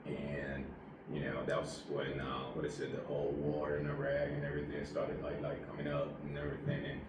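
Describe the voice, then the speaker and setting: A man in his forties from Puerto Rico, an army veteran telling his story in a relaxed interview, halting and full of fillers. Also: rumbling low, heavy reverb, distant mic, very noisy. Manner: rambling, halting, many fillers.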